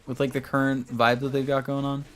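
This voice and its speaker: A man's voice: medium pitch, monotone, fairly quick, a bit bright. A young man streaming a game, chatting casually with his viewers in a rambling way while he plays. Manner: rambling, talks to chat while playing.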